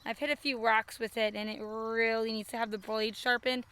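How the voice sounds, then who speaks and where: A homesteading woman talks through her garden chores in a relaxed, practical way. Her fairly high voice is hoarse and scratchy, picked up outdoors on a small earbud mic. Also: moderate pace. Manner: chatty, practical, self-deprecating. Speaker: homesteading vlogger. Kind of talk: casual how-to chat. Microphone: wireless earbud mic.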